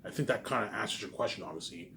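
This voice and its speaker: A man in an unscripted, repetitive and halting monologue to viewers, sounding aggrieved and letting phrases trail off quietly. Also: fairly low pitch, expressive, gravelly, a little hiss or hum. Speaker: online vlogger.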